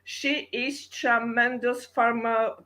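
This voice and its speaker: A middle-aged woman from Poland with a Polish accent, speaking English in an earnest, halting way as she searches for words in an interview. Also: fairly high pitch, steady tone, bright, forceful tone. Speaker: guest poet and translator. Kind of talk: casual interview.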